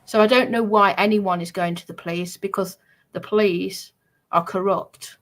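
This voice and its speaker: A woman's voice: medium pitch, steady tone, moderate pace. A woman in an aggrieved, emphatic livestream talk, often repeating herself to press her point.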